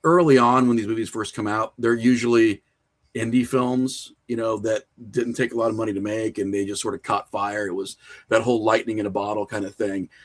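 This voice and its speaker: A man's voice: lowish voice, fairly quick. A man talking informally as an interview guest, explaining his views in loose, slangy speech peppered with filler phrases. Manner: animated explaining, frequent "you know" and "like", informal slang. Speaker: podcast guest, horror filmmaker. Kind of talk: casual interview conversation.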